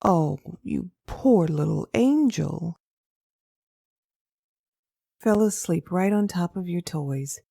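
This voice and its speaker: A woman playing a tender, doting mother of a small girl in a comic dub, speaking softly and affectionately. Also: fairly low pitch, expressive, takes it slowly, vocal fry, pauses often, dry recording, studio quality, exaggerated voice. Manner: soft, affectionate, cooing.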